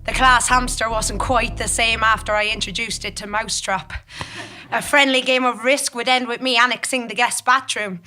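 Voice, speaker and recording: A ruthless gambler recounts a life of excess, played by a woman on stage. The voice is fairly high and bright, with deliberate, dry-humoured dramatic storytelling. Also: steady tone, soft background music. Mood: wry, boastful. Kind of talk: scripted comic monologue, storytelling on stage. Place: theatre stage in front of an audience.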